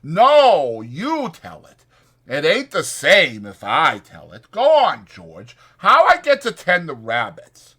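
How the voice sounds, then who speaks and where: A man voicing a big, simple-minded, childlike ranch drifter who speaks slowly and haltingly, eager and pleading, in an audiobook. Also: a little high, wildly swooping pitch, sharp tone, husky, pitched above the performer's own voice, heavily acted. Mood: excited pleading. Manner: slow, halting, emphatic speech.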